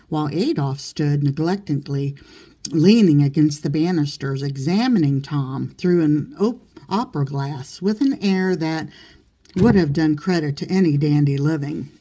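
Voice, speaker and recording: A woman's voice: low voice, dark tone. A woman reading literary narration aloud as an audiobook reader.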